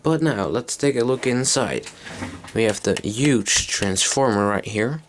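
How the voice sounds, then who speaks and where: A man's voice: mid-range voice, animated, measured pace, a little hiss or hum. A man giving a casual, unscripted hands-on demonstration as an electronics hobbyist, hesitant and thinking aloud as he goes. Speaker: electronics hobbyist presenting a tape recorder.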